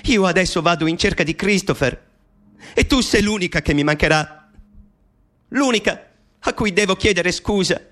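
Broken, persecuted math genius pleading with his mom, high voice, bitter and intimate, radio drama. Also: moderate pace, long pauses, a bit bright. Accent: Italian. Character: anguished, persecuted male mathematician confessing to his mother. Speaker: actor in a radio play.

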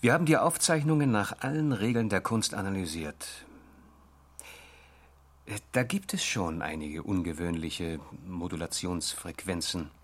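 Low-voiced earnest research analyst. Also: male voice, measured pace. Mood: measured, uneasy. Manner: hesitant, matter-of-fact explaining. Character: earnest male research analyst, measured and slightly uneasy. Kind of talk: science fiction radio drama acting.

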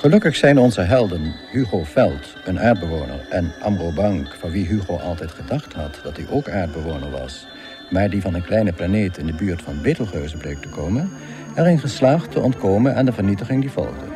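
The narrator of a galactic guidebook in a comic radio play. His lowish voice is measured and deadpan, with dry irony over prominent music. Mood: wry.

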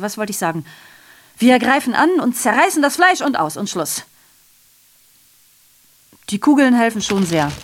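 A woman's voice: mid-range voice, a little hiss or hum, lightly acted. A woman acting a sardonic messenger in a literary radio play, declaiming ironically and constantly correcting herself. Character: sardonic female messenger reporting atrocities, digressive and self-correcting. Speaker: radio drama performer. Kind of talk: literary radio play monologue, theatrical narration.

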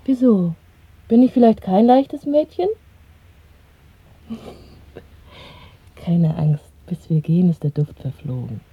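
A bold young lover, flippant and teasing, with a low, very breathy voice. It is radio play acting on a tinny recording. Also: female voice, slow, long pauses, no room sound, exaggerated voice. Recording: dry, tinny narrow-band radio recording.

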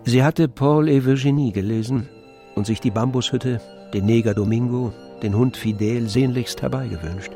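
A man giving measured, articulate literary narration for a radio play. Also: medium pitch, mellow. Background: music.

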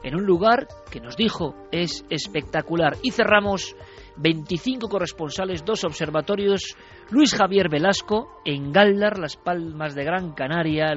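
A man hosting a radio show, delivering a dramatic, rousing monologue to his listeners. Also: on the high side. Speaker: radio host. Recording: muffled radio broadcast with faint music.

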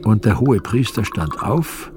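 A man giving solemn, measured scripted narration of a biblical passion story for radio drama. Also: lowish voice, lively intonation, noisy background. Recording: prominent music behind the voice.

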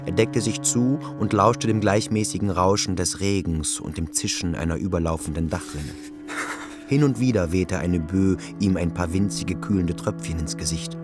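Sehr tiefer männlicher Erzähler über Musik. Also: male voice, deep voice, normal speed. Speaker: narrator. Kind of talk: literary radio play narration. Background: music.

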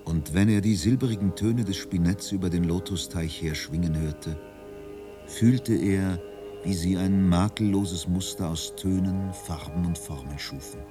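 A reflective storyteller narrates a dreamy literary radio drama. His voice is very low, his delivery measured and contemplative, with music playing behind him.

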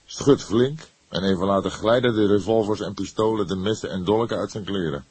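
Male reader as older detective, low husky voice, calm, wry, disapproving, unhurried lecturer, audiobook character. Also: no room sound. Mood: lecturing.

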